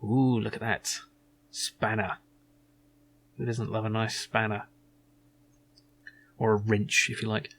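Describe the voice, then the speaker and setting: A man's voice: lowish voice, little pitch movement, slow pace, long pauses, a bit bright. A man giving casual let's play commentary, thinking aloud in a wry, musing way. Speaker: video game streamer.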